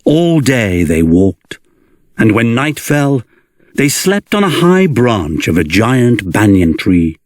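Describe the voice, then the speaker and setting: A man reads a children's story with dramatic flair. His slightly low voice rises and falls widely at a measured, slow pace, recorded close and dry. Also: no room sound. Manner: expressive, deliberate storytelling. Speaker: narrator. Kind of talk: dramatic children's storybook read-along narration.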